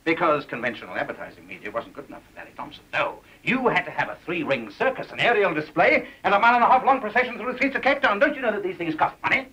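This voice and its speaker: An actor playing a bossy, exasperated public relations boss in a film comedy, brisk and scolding. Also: male voice, medium pitch, big pitch swings, sharp tone, husky, highs cut off, heavily acted.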